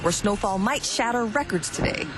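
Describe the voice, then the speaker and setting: A woman's voice: mid-range voice, expressive, normal speed, background noise. A woman narrating a scripted television news report as a correspondent.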